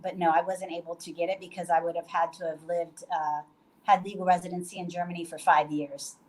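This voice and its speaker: A woman's voice: lowish voice, level tone, fairly quick, a little mellow, low-quality recording. A woman from Puerto Rico talking casually on a video call from a guesthouse bedroom, loose and chatty with many fillers and restarts. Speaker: guest, hostel owner.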